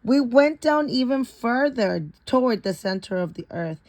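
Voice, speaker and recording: A woman's voice: a little high, expressive, a little slow, a little mellow. A woman reading a children's science storybook aloud, stopping now and then to repeat or correct words. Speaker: reader of a children's picture book.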